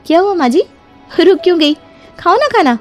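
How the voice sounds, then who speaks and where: A woman's voice: very high voice, very animated, normal speed, pitched above the performer's own voice. A woman voicing a clever young daughter-in-law in a cartoon moral story, sweetly persuasive and emphatic. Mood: bright, urging. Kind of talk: animated Hindi moral story dialogue. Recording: music behind the voice.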